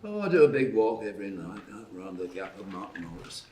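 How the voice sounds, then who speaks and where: A man's voice: on the low side, sing-song, a little fast, darkish tone. An actor playing a teasing, eccentric older English countryman in a live screenplay table read. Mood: relaxed, friendly. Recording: roomy live theatre stage.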